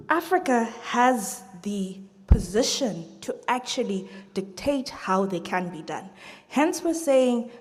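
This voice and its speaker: A woman's voice: fairly high pitch, darkish tone. A woman answering her opponents in a live debate, earnest and appreciative. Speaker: debater for the proposition. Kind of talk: debate response. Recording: reverberant hall.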